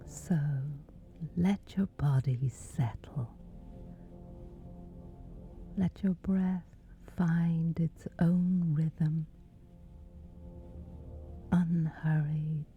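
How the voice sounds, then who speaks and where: Very slow, whispery woman guiding sleep. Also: low voice, fairly even intonation. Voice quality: breathy, whispery. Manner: hushed, soothing, gentle, with long pauses. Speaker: sleep meditation guide. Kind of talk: scripted sleep meditation, ASMR-like. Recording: slightly dull, soft music behind. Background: music.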